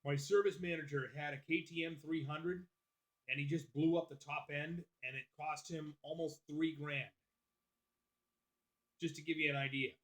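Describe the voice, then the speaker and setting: A man's voice: fairly high pitch, steady tone, normal speed, a bit bright, rough recording. Profane, chatty man hosting a livestream. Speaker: livestream host. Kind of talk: casual live-stream chat, reading out viewer comments.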